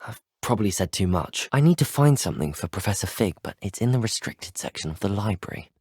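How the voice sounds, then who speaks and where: A slightly breathy young British male student wizard for a video game. He is earnest, curious and well-spoken, with lively, swooping intonation in a close, dry recording. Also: on the low side, fast talker, no room sound. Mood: earnest, courteous. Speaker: player character voice.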